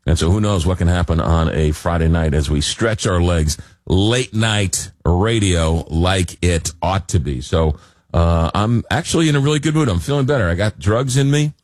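Deep-voiced male late-night radio host, rambling and halting, a little under the weather, dry studio sound. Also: a little mellow, no echo. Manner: rambling, hesitant, many fillers. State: recovering from a cold. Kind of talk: casual radio talk.

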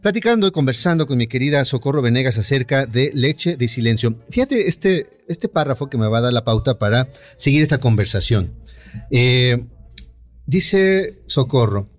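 A Mexican man hosting a live radio interview, chatty and easygoing, with frequent backchannel "ujú"s. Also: medium pitch. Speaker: radio show host.

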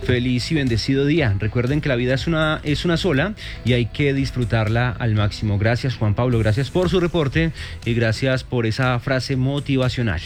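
A man hosting a morning radio traffic show, lively and joking as he reads listener reports. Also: medium pitch, moderate pace, faint music behind. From Colombia. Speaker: radio host.

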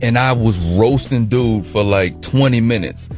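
A man's voice: mid-range voice, measured pace, a little mellow, soft background music. A man from Trinidad, a comedian guest on a radio show, talking frankly and laughing easily. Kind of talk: casual interview.

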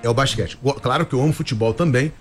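A man with a Brazilian accent, a guest singer talking in a relaxed, chatty way. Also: medium pitch, quick pace, music in the background. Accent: Brazilian Portuguese.

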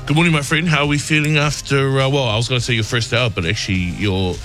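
A man reading a radio news bulletin in a measured way and chatting as the show's producer. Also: mid-range voice, steady tone, fairly bright tone, faint music behind. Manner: measured news delivery, friendly banter. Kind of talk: radio news bulletin and casual studio chat. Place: radio studio.

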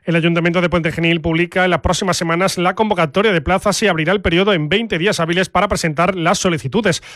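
A man's voice: high-pitched, steady tone, fast talker, fairly bright tone, dry recording, studio quality. A man, presenting local radio news from a script.

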